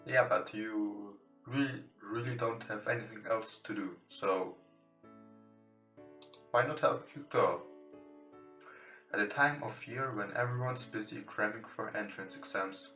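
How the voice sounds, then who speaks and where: Slow-talking man in a roomy, distant recording. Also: fairly low pitch. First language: not English. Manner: hesitant, halting English. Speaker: let's play host. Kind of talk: casual let's play commentary, reading game text aloud. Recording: roomy, distant mic with music behind. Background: soft guitar game music.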